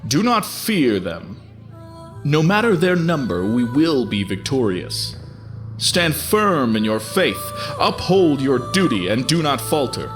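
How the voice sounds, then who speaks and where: An actor playing a devout war leader in a fantasy audio drama, rallying an army with commanding, declamatory oratory. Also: male voice, mid-range voice, sing-song, slow pace, faint music behind. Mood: rousing, resolute.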